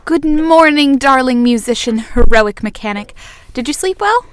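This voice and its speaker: Woman acting exuberant, bossy, theatrical young friend, higher-pitched. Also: lively intonation, moderate pace, a little hiss or hum. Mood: playful, grand. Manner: playful, theatrical wordiness. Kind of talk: fiction podcast acting.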